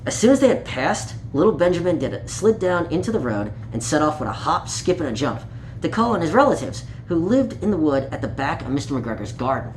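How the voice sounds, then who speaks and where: A person reading a children's story aloud in an animated, playful way, with small asides and stumbles over words. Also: medium pitch, brisk. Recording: echoey room with light background noise.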